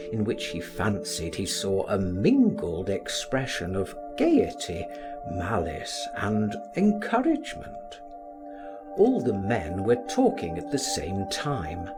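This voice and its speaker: Male literary narrator. Theatrical, very wide swooping pitch, unhurried delivery, music underneath. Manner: theatrical, measured, expressive phrasing. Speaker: audiobook reader. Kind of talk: scripted literary narration of a classic novel.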